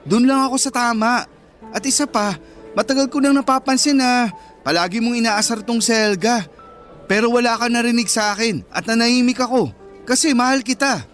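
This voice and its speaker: A man's voice: extremely high pitch, brisk, fairly bright tone, soft background music. A man playing a protective, fair-minded schoolboy in a Filipino radio drama, with an earnest, reassuring manner. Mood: indignant, firm.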